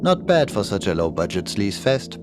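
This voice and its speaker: A man with a fairly low voice narrates a horror tale over loud, creepy music and sound effects. His delivery is ominous and dramatic, with lively swings in pitch. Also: moderate pace.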